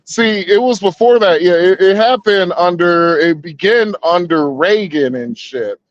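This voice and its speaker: Man, 30s, podcast co-host: high, profane, rambling, slightly muffled dry sound. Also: a little slow, no echo. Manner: rambling, profane, stops and restarts a lot. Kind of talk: heated casual debate talk.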